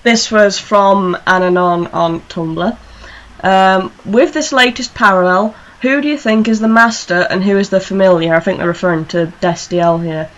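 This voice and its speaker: A woman's voice: mid-range voice, moderate pace, a bit bright, dull audio. A woman hosting a fan radio show, talking casually in a panel discussion.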